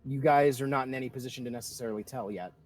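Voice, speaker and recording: A man's voice: mid-range voice, very fast, darkish tone. A man narrating a tabletop roleplaying game as game master, informally describing scenes and questioning the players.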